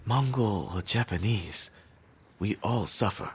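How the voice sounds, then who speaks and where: An actor playing a stubborn, principled pacifist healer monk, earnest and pleading, in a dramatic video game cutscene. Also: male voice, fairly low pitch, slow. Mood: sorrowful, gentle. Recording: background music under the dialogue.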